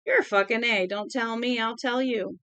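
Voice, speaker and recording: A woman's voice: mid-range voice, steady tone, moderate pace, no echo, lightly acted. A woman voicing a small, drunk, volatile young Southern man in a novel, crude, profane and threatening. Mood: crude bragging.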